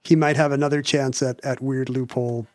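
A man's voice: medium pitch, flat delivery, fairly quick, darkish tone, no echo, studio quality. A man co-hosting a podcast in casual chat, wry and joking, often stopping to restart his sentences. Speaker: podcast co-host.

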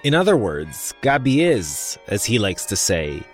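A man narrating a news feature as the reporter, in a lively storytelling delivery. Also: lowish voice, unhurried, faint music behind.

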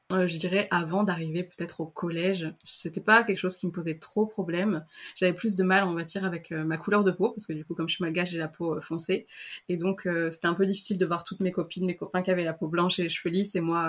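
A young woman born in Madagascar, talking casually as a podcast guest, hesitant with many fillers and restarts. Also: fairly low pitch. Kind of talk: casual interview chat.

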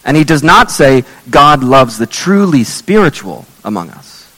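Male pastor, hoarse, losing his voice. Earnest, measured sermon delivery with a long hall reverb. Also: mid-range voice, big pitch swings, sharp tone. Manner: earnest, measured preaching with scripture readings.

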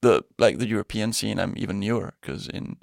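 A man's voice: on the low side, expressive, fairly quick, gravelly, no echo, studio quality. A young man from Spain and Belgium, a guest comedian chatting casually, laughing often and restarting his sentences. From born in Spain, grew up in Belgium. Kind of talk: casual chat.

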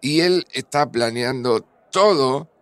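A man's voice: mid-range voice, slow, smooth, no echo, lightly acted. An actor playing an anxious, guilt-ridden man with a Buenos Aires accent, hesitant and agitated in naturalistic audio drama dialogue. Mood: agitated, guilty. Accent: Argentine, Buenos Aires. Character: anxious, guilt-ridden man in love, confiding in his friend.

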